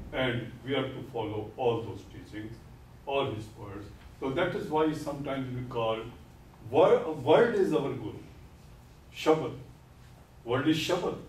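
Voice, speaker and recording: An older man giving a classroom lecture, explaining terms slowly and deliberately and repeating key words, standing at a podium. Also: mid-range voice, a little hiss or hum. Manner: gestures while speaking at a podium. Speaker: lecturer on Sikhism. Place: classroom with whiteboard. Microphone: far camera. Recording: echoey classroom from far off.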